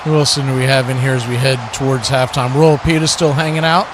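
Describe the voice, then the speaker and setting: A man's voice: mid-range voice, little pitch movement, brisk, noisy background. A man hosting a live stream with play-by-play sports commentary and chat to listeners.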